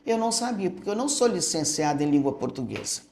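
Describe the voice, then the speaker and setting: A woman's voice: fairly low pitch, sing-song, fast talker, a little mellow, a little hiss or hum. Older woman reminiscing in an echoey room. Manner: storytelling, tags statements with "né?". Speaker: retired school director and teacher. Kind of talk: reminiscing interview answers.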